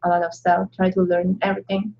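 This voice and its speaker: Woman, husky, lowish voice, halting casual chat, lo-fi audio. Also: monotone. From Indonesia. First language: Indonesian. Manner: halting, chatty, laughs often. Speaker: co-host. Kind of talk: casual live-stream chat.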